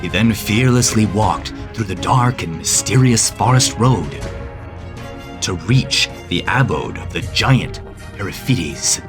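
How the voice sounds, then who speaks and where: A man narrating an animated story in a calm, measured way. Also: on the low side, music in the background.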